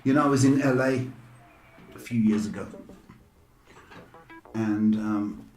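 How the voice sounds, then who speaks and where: A man's voice: on the low side, slow pace, darkish tone. A middle-aged man chatting casually and jokily as a rambling live stream host. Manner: rambling, joking. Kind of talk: casual chat. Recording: echoey room, faint background noise.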